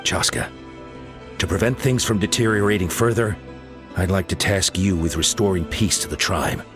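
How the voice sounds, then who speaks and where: A weary, deep clan leader. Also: male voice, music in the background. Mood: grave. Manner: grave, deliberate. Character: older male clan leader, weary and grave. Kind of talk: video game voice acting.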